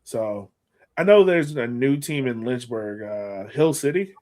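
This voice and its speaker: A man's voice: mid-range voice, animated, measured pace, a little mellow. A man hosting a casual soccer podcast, chatting in a slangy, informal way. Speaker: podcast host.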